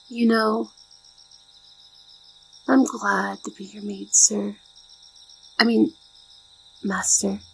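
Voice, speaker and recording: A shy young maid played by a woman in an ASMR roleplay, devoted and deferential. Her gentle voice is breathy and heavily creaky, with formal, careful wording. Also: medium pitch, full character voice, poor audio. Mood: tender, soothing.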